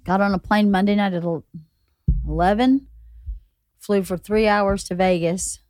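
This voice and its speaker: Hoarse woman hosting a chatty podcast. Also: medium pitch, darkish tone, dry recording, studio quality, soft background music. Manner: chatty, teasing, quick questions. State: hoarse, partly lost voice. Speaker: podcast host. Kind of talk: casual chat.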